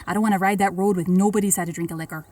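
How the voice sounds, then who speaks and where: A woman's voice: medium pitch, fairly even intonation, rapid-fire, darkish tone, natural voice. A woman playing a cautious, folksy gang member in an audio drama, with homely, ungrammatical speech.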